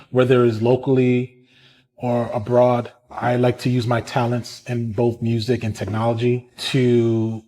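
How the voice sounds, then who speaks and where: A man, telling his story in a casual interview, animated and quick to laugh. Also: medium pitch. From Brooklyn, New York. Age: 30s. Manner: animated, chatty, laughs often. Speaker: musician and community organizer, interviewee.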